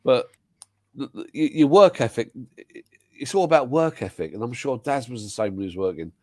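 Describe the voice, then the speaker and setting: An older man from the UK hosts a casual live-stream chat, blunt and quick to swear, tripping over his own restarts. His voice sits at a middling pitch, and the sound is dry and close. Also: lively intonation, dark tone, dry recording. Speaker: host.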